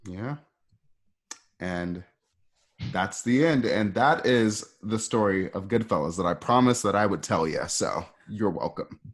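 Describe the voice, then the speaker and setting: A man's voice: on the low side, lively intonation, unhurried. A man casually narrating a story for a radio drama, in a conversational way.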